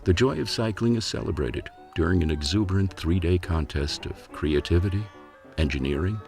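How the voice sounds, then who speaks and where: A man narrating a travel documentary in a measured, reflective scripted delivery. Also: low voice, lively intonation, creaky. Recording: narration over loud music.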